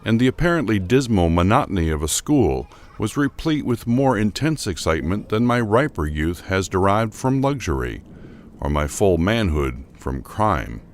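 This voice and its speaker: A man's voice: lowish voice, big pitch swings, normal speed. A man giving a formal, theatrical and emphatic narration of a gothic horror story, in the scripted style of an audio drama.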